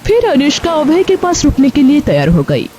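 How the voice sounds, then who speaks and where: Female story narrator, high and animated delivery, scripted read over a music bed. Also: moderate pace, a little hiss or hum. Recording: music behind the voice.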